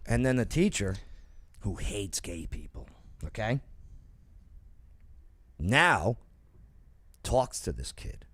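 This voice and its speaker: A man's voice: on the low side, big pitch swings, slow, long pauses, a bit bright. A man hosting an opinionated talk show panel, emphatic and insistent, often tagging his points with "okay?" and "right?".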